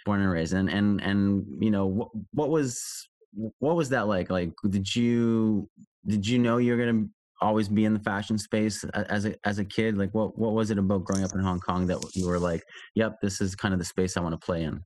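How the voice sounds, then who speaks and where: Low-voiced man hosting a podcast. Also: fairly even intonation, normal speed, a little mellow. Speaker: podcast host, interviewer. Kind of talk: casual conversational interviewing.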